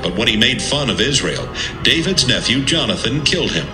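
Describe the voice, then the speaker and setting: A man narrating an audio Bible from a script in a measured, serious manner. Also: mid-range voice, very animated. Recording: roomy sound with music underneath.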